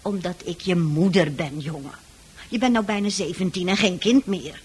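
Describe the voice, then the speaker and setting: Husky, weary, worried mother. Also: on the low side, moderate pace, a little mellow. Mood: reproachful, worried. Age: middle-aged. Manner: hesitant, reproachful. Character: worried, weary, nagging mother of a teenage son. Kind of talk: radio play acting.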